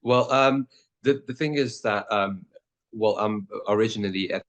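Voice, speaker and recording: Deep-voiced man, halting interview answers. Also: highs cut off. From born in Pakistan, raised in the Netherlands. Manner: hesitant, many fillers and restarts. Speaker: lawyer guest.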